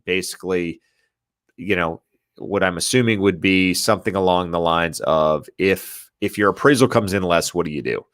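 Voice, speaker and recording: A man's voice: sits low, gravelly, a bit bright, no echo, studio quality. A man hosting a casual live question-and-answer stream, stuffy and nasal from allergies, hesitant with many fillers and restarts as he reads out viewer questions. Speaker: real estate livestream host.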